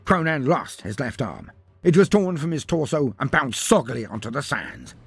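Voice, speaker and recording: Animated male storyteller, husky and dry. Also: medium pitch, moderate pace, no room sound, soft background music. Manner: theatrical, florid, wry. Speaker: storyteller narrator. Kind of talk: dramatic scripted fantasy narration.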